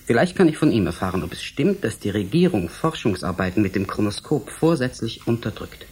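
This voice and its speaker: A man in a German radio drama playing a cautious, sceptical young scientist, measured and questioning, with a husky voice. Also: lowish voice, big pitch swings, darkish tone. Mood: doubting, deliberate. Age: young adult.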